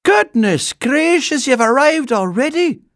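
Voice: mid-range voice, sing-song, normal speed, no echo, clean studio sound, voice pushed up, lightly acted. A performer voicing a stout, fierce but motherly older housekeeper who fusses and exclaims bluntly in a storybook reading. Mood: flustered horror.

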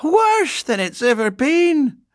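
A stout older housekeeper speaks in a very high, swooping voice. She is fussy, blunt and fierce with a motherly streak, exclaiming her way through a storybook scene. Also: very animated, slow, no room sound, studio quality, heavily acted. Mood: suspicious, doubtful.

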